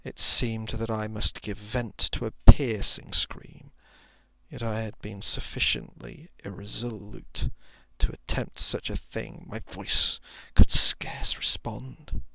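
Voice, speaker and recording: Male ASMR narrator for a suspense story, low gravelly hush, very dry close sound, dramatic phrasing. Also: steady tone, takes it slowly, no echo. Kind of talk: whispered ASMR reading of a thriller short story.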